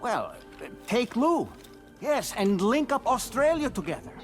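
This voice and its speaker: A man's voice: very high voice, big pitch swings, full character voice. A man acting in a video game as a living doll, a former spirit medium with a courtly, dramatic, encouraging manner. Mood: encouraging, excited. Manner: theatrical, courteous, deliberate. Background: background music.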